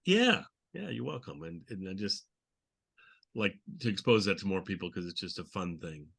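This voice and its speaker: A man's voice: sits low, very animated, moderate pace, gravelly, fairly bright tone, breathy edge. A man hosting a podcast in a casual, conversational style. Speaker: podcast host.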